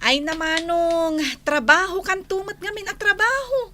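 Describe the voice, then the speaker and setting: Very high, excitable Ilocano busybody. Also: female voice, a little slow, full character voice. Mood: gossipy. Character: excitable, tattling female relative fussing over the household. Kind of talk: Filipino radio drama acting.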